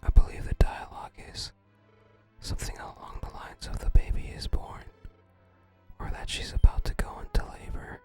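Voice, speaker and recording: A person whispering in a casual ASMR style, musing aloud softly in a breathy, unvoiced voice. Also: faint music behind. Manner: soft, unhurried, musing aloud. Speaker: ASMR video creator. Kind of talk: whispered ASMR, casual unboxing and game commentary.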